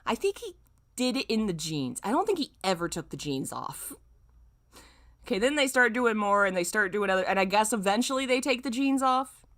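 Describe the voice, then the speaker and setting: A woman's voice: mid-range voice, big pitch swings, normal speed, fairly bright tone. A woman streamer commenting casually and reading aloud in an exclamatory, informal, self-mocking manner.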